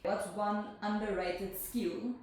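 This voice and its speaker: A woman interviewer reading out prepared questions in a brisk, upbeat way. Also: medium pitch, fairly even intonation. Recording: echoey room, distant mic, audible noise.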